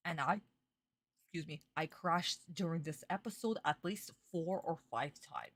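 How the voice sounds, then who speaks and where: A woman's voice: low voice, measured pace, a bit bright. A young woman streaming a live reaction, talking in an exasperated, sarcastic way with plenty of swearing.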